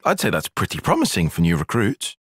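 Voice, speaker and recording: Precise young soldier strategist, lively pitch. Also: male voice, slightly rough, no echo, clean studio sound, heavily acted. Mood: measured, analytical. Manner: formal, measured, precise, dryly sardonic. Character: young male soldier strategist, precise, bookish, wry, easily flustered. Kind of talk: video game cutscene voice acting.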